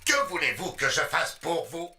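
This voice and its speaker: An actor playing a cheerful, helpful mechanical pirate in a cartoon, exclamatory and over-eager. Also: male voice, on the high side, big pitch swings, moderate pace, vocal fry, roomy sound, over music.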